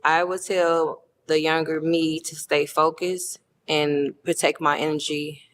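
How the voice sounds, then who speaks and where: A woman in her thirties, a dating show contestant, answering calmly and earnestly. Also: sits low, a little slow. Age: 30s. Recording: faint background noise.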